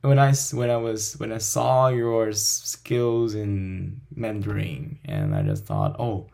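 A man from Taiwan hosts a relaxed chat, talking haltingly and often restarting or repeating his words. His voice sits fairly low and has a gravelly, fry-heavy texture. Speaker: host, language teacher. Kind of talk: casual conversation.

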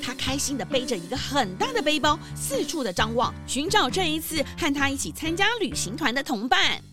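一位女性旁白，以講故事的口吻為兒童廣播劇唸稿敘述。. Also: female voice, sits high, big pitch swings, a little fast, over music. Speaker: narrator. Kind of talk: scripted children's story narration.

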